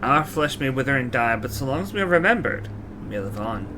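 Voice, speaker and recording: A man's voice: medium pitch, very animated, fairly quick, background noise, close to an everyday voice. Man voicing a solemn old mechanical knight. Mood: solemn, earnest. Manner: formal, archaic, solemn storytelling. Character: courtly old knight reborn in a mechanical body. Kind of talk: fantasy game character dialogue. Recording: music behind the voice. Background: game music.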